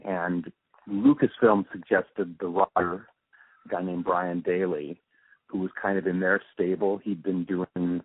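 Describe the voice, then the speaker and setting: Deep, husky-voiced man in a halting interview. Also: no room sound. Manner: halting, reflective, often trailing off mid-thought. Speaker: interview guest, radio drama producer and sound mixer. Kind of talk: casual interview recollection. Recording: muffled, narrow phone-like interview recording.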